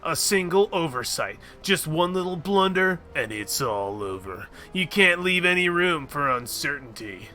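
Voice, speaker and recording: A man voicing a gruff male rogue who holds a grudge, reading game dialogue aloud in a lower, drawling voice. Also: big pitch swings, a little slow, few pauses, bright, forceful tone, soft background music, full character voice.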